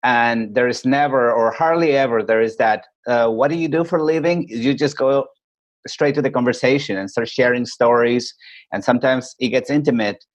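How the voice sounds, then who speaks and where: A man from Peru, a podcast guest calling in remotely, telling his stories in a reflective, unhurried way. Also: medium pitch. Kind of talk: casual interview chat.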